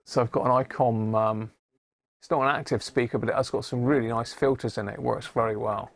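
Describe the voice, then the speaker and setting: A man's voice: medium pitch, fairly even intonation, brisk, vocal fry. A man hosting a casual live stream, explaining things informally and without hurry as he demonstrates his hobby.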